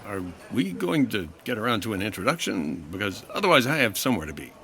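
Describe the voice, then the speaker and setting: Low-voiced, wry radio detective. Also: male voice, big pitch swings, fast, fairly bright tone. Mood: wry, charming. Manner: smooth wisecracking, dry. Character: suave, wry 1950s freelance insurance investigator. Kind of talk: old-time radio detective drama acting.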